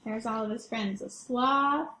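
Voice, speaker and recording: High-pitched woman reading to young children. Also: unhurried, roomy sound. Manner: expressive, asks the listeners questions, exclaims. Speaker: children's read-aloud host, teacher or librarian. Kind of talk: interactive picture-book read-aloud for young children.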